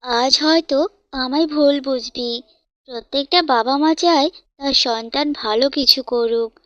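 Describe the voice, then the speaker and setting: A woman's voice: high voice, measured pace, a bit bright, no echo, lo-fi sound. A woman voicing a protective father bird in a cartoon, earnest and admonishing. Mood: gently persuading. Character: stern but loving protective father bird.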